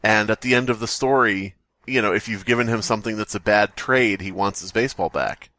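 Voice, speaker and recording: A man's voice: lowish voice, brisk, pushed and bright. A man co-hosting a podcast with casual comedic critique, wry and self-deprecating. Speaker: podcast co-host.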